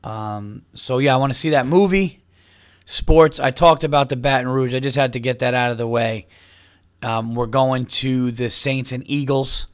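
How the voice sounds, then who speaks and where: A man's voice: mid-range voice, no echo, clean studio sound. A man, a comedian talking casually on his own podcast in a rambling, upbeat way while losing his voice to a cold. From New York. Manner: rambling, upbeat, with restarts and plugs. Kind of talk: casual solo podcast chat.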